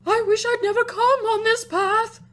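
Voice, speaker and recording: A woman voicing an anxious, doting and sentimental camel in a storybook, with a drawn-out, fussy, emotional delivery. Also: very high voice, steady tone, a bit bright, pitched above the performer's own voice. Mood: distressed.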